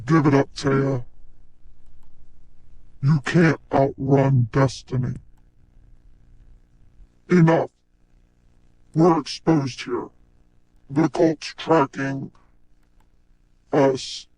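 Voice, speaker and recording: A man playing a grim, menacing cyborg in game-style dramatic lines, with pitch-shifted robotic processing. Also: fairly even intonation, drawn-out words, pauses often, darkish tone, no room sound, poor audio. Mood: grim warning.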